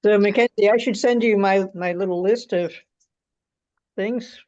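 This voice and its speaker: A woman with a medium-pitched voice talks in a plain-spoken, informal way. She is on a conference call with dull, lo-fi sound. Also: unhurried, darkish tone. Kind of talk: casual meeting chat. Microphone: teleconference call.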